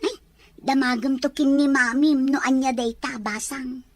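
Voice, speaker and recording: A woman's voice: high-pitched, steady tone, unhurried, a little hiss or hum. A woman playing a brusque aunt. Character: blunt aunt of the little girl.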